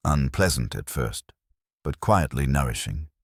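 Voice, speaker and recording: A man narrating a scripted mystery story in a measured, literary manner. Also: rumbling low, lively intonation, slightly rough, no room sound, clean studio sound.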